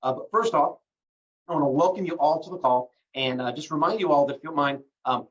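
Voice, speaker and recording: A man's voice: fairly high pitch, fast. Distant, muffled man hosting a call. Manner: relaxed, many fillers, promotional. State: recovering from bronchitis. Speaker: host.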